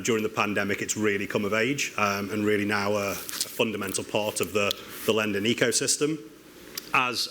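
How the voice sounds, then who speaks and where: A man with a low, bright, forceful voice moderates a conference panel on stage. He speaks with many ums and small restarts. Faint room ambience sits behind him. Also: a little hiss or hum. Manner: hesitant, frequent ums and restarts.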